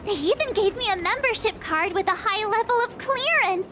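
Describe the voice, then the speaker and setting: Very high girlish adventurer. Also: animated, moderate pace, noisy background. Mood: earnest, flustered. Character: girlish, earnest young female adventurer.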